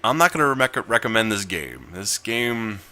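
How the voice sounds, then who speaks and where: A man's voice: fairly low pitch, sing-song, moderate pace, bright, forceful tone, soft background music. A man reading a visual novel aloud and giving casual commentary as he plays. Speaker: video game player and narrator.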